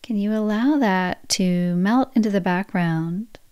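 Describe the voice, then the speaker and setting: A woman guiding a yoga nidra relaxation practice, speaking in slow, soft, soothing phrases. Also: mid-range voice, smooth, no room sound, clean studio sound. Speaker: meditation guide.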